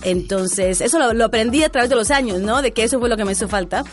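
A woman from Guatemala, a guest entrepreneur, answering interview questions about her life in a relaxed way with many fillers. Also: on the high side, fast, faint music behind.

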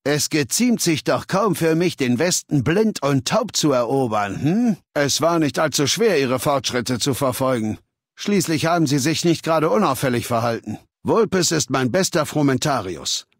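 An actor playing a cold, imperious warlord dictator in a video game, speaking in a commanding, haughty, lecturing manner. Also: male voice, on the high side, very animated, moderate pace, husky, no echo, studio quality, full character voice. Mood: haughty, authoritative. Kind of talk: video game character dialogue.

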